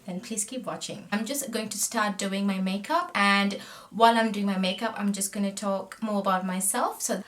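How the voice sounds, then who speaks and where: A woman's voice: mid-range voice, fairly bright tone. Chatty woman from Sri Lanka vlogging. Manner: talkative and friendly, often trailing off while working. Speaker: makeup vlogger. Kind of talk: chatty makeup tutorial. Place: small indoor room, plain backdrop. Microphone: camera facing her at close range.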